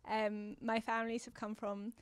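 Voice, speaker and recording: Even-toned woman, dry close sound. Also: a little high, moderate pace, dry recording. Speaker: interviewee. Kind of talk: interview answer about self.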